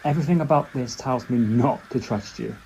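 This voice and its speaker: A man's voice: mid-range voice, a little fast, creaky, dark tone, highs cut off, slight background noise, natural voice. A man playing a wary, anxious young companion in a fan audio drama, hesitant and questioning. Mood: guarded. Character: wary, anxious young male companion, loyal but tempted.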